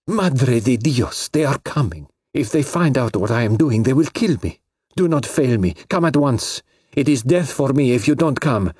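Man doing frightened young woman, soft caressing voice, trace of foreign accent, urgent pleading, husky edge, lively swoops. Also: medium pitch, moderate pace, dry recording, studio quality, voice pushed up.

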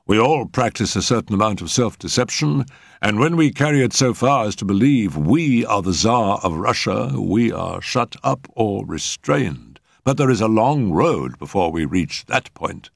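A man's voice: fairly low pitch, lively intonation, creaky, no room sound, studio quality, voice pushed up. Man putting on a pompous, genial eminent physician. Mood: self-satisfied, expansive. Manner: pompous, measured, emphatic lecturing.

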